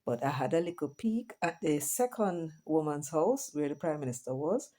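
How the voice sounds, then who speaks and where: Low, mellow Jamaican woman chatting. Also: moderate pace. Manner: chatty, mixes patois and English, lively asides to viewers. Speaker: vlogger host. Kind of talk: casual commentary monologue.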